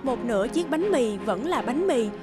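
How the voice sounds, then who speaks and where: A woman's voice: a little high, expressive, moderate pace, music in the background. A woman narrating a scripted moral story for an animation.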